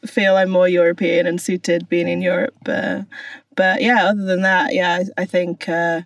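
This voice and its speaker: A woman with a slightly low voice talks casually on a podcast, light and easygoing with small hesitations. She sits a bit back from the microphone, and the sound is dry with no room echo. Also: level tone, normal speed, distant mic. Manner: light, laughs easily, hesitant. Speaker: podcast co-host. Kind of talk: casual chat.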